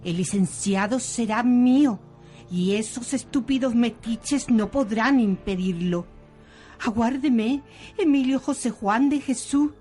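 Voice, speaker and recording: A woman playing a lovesick, vengeful villainess in a parody radio soap, with drawn-out melodramatic delivery. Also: a little high, warm tone, faint music behind. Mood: menacing, possessive.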